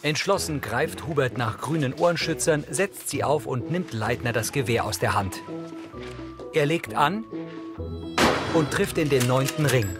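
A man narrates the action of a TV drama as audio description, with music clearly underneath. His mid-pitched delivery is measured and matter-of-fact. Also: animated. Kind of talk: scripted scene narration.

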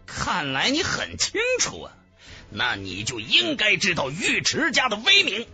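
A man's voice: high-pitched, wildly swooping pitch, a little slow, pushed and bright, heavily acted. A man voicing an arrogant, belligerent martial-arts fighter in a fantasy audiobook, boastful and taunting. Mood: gloating. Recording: music bed under the voice.

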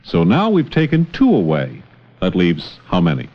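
A man's voice: deep voice, huge pitch swings, normal speed, highs cut off. Male educational-film instructor, questioning and encouraging, hugely varied intonation, noisy worn 16mm sound. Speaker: teacher, narrator. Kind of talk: educational film dialogue with a child. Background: clicks and heavy film noise.

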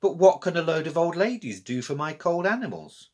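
A man's voice: a little high, sing-song, brisk, husky, pitched above the performer's own voice. A man voicing a polite, earnest French zoo worker in a children's story. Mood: doubtful.

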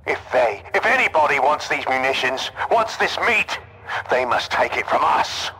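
An actor playing a crazed, defiant corrupted officer in a video game, with a harsh raspy voice and a fanatical, halting delivery. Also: male voice, medium pitch, lively intonation, sharp tone, heavily acted, low-quality recording. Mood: fanatical defiance. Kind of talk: video game villain acting.

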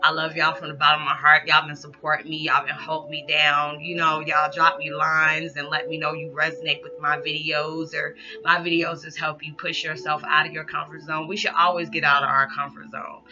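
A woman in her thirties giving a loud, animated motivational talk to her camera in a small living room, recorded on a laptop's built-in mic. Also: low-pitched, fairly quick, hardly any pauses. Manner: animated, loud, rowdy, lots of hand gestures. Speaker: vlogger. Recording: dull lo-fi laptop audio with background music.

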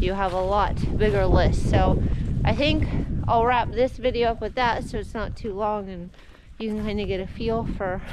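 A woman's voice: medium pitch, very noisy. A woman in her forties, a flower farmer, chatting casually and indecisively while she walks around her farm with a camera held at arm's length. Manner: chatty, indecisive, often laughs and says "like". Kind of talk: casual walking vlog. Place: outdoors on a rural farm in winter. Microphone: handheld wide-angle camera at arm's length.

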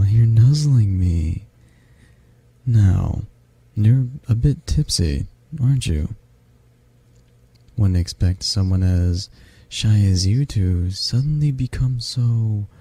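A man acts out a tall, affectionate half-dragon guy in an ASMR roleplay. His low voice is mellow and husky, right up close and dry. He takes his time, teasing and flirting gently. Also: no room sound, heavily acted. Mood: teasing, flirty. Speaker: roleplay voice actor.

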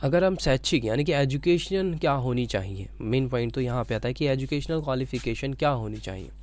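A man's voice: medium pitch, animated, brisk, a little mellow. A man teaching informally as an explainer, repeating points and often checking in with short tags. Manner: repetitive, checking in with "okay" tags. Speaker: teacher explaining an exam notification.

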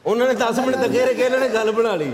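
A man's voice: very high-pitched, steady tone, quick pace, background noise. A man, a comedian on a studio panel, cracking jokes and telling funny stories. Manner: joking, mixes in Punjabi punchlines. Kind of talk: comic banter. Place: TV studio with live audience. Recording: roomy studio echo, loud audience.

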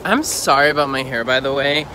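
A young man vlogging casually on a phone at arm's length, walking city streets and chatting excitably with lots of "like". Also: medium pitch, brisk, background noise. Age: young adult. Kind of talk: casual vlog chat. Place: bedroom indoors, then city streets outdoors. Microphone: phone held at arm's length.

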